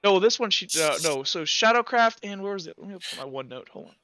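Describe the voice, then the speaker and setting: A woman running a tabletop game, improvising casual narration in a halting, self-correcting way. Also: mid-range voice, expressive, a bit bright, no room sound. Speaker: game master.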